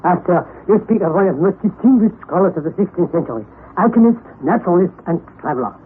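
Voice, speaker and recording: An actor playing a courteous, scholarly professor in an old-time radio drama, speaking in a formal, stately, reverent manner. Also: male voice, high-pitched, expressive, fast talker. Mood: solemn, admiring. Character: courteous, scholarly Icelandic natural science professor, solemn. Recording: tinny, noisy old radio broadcast recording.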